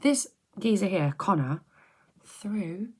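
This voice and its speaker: A middle-aged woman, chatting softly and rambling on a close mic for an ASMR video, often slipping into whispers. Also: medium pitch, lively intonation, slow, slightly rough. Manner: soft-spoken, drifting into whispers, chatty and rambling. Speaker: ASMR creator. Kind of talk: soft-spoken ASMR chat.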